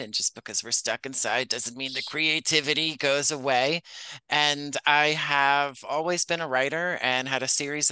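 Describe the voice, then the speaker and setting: A man's voice: on the high side, pushed and bright, clear, resonant tone, dry recording, low-quality recording. A man answering questions as an interview guest on a podcast. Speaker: podcast guest, writer and producer.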